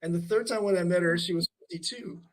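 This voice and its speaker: Male interviewee: high-voiced, reflective and hesitant, low hiss behind. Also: fast, rough recording. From Canada. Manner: thoughtful, reflective, hesitant with fillers and restarts. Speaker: artist interviewee. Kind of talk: conversational interview.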